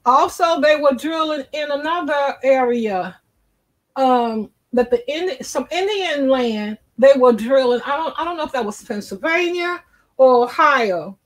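A woman's voice: high-pitched, expressive, a little slow, low-quality recording. A woman host on a video call, reading headlines aloud and debating bluntly.

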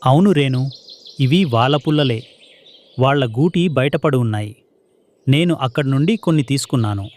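A man's voice: medium pitch, expressive, moderate pace, long pauses, darkish tone, close to an everyday voice. A man voicing an earnest, hardworking male sparrow, a husband and father, in a children's cartoon story.

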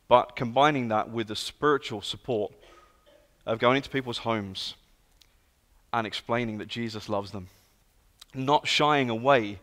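A man in his thirties with a London British accent, giving an earnest, storytelling guest sermon in a large church. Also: medium pitch. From England. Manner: earnest, storytelling, lively emphasis. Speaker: guest speaker. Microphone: handheld and stage mic. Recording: long hall reverb.

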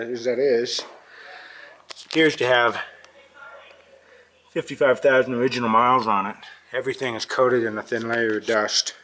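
A man filming a walkthrough of an old barn, narrating in a calm, matter-of-fact way with pauses, recorded on a handheld camera inside a metal barn. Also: mid-range voice, a little hiss or hum. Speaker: vlogger filming a barn find. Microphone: handheld camera mic.

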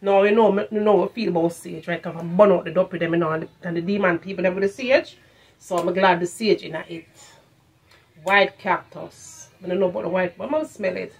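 A woman's voice: fairly low pitch, fairly quick, a little mellow, slightly rough. A middle-aged woman with a Jamaican accent reviewing products in a casual vlog, slipping into patois and repeating phrases for emphasis. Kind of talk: casual chat to camera, shopping haul review. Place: furnished room at home, indoors.

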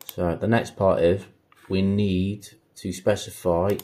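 A man's voice: sits low, little pitch movement, slow, mellow. A man narrating a casual hands-on tutorial as an installer, thinking aloud in an informal way. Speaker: installer demonstrating intercom setup.

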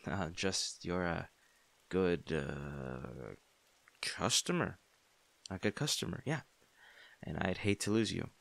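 A man's voice: sits low, creaky, dry recording, exaggerated voice. A man playing a kind young barista with a shy crush, speaking reassuringly and a little hesitantly in an intimate audio roleplay. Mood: flustered, shy. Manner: soft-hearted, reassuring, hesitant. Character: kind young male barista, caring, shyly smitten. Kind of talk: intimate comfort audio roleplay, natural conversational acting.

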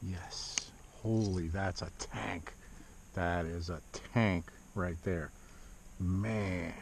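Excitable man fishing from a kayak. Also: deep voice, very slow, vocal fry. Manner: excited exclamations and muttered asides. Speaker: fishing vlogger. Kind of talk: casual fishing commentary. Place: kayak on a lake outdoors. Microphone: action camera mounted on the kayak. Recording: dull outdoor action-camera audio with wind and water noise.

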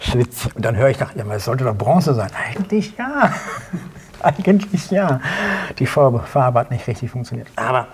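A middle-aged man with a husky, rough-edged voice at a medium pitch. He talks casually as an interview guest, in short clipped phrases, sounding sure of himself. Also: sing-song, normal speed. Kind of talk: casual anecdotal chat. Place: indoor studio set, seated at a table.

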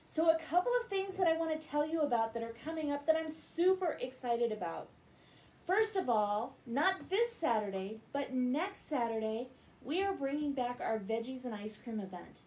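High-voiced American woman telling bedtime stories. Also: animated, normal speed, a little mellow, low-quality recording. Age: middle-aged. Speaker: children's Bible story reader. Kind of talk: bedtime story reading and talk to children. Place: living room at home, indoors.

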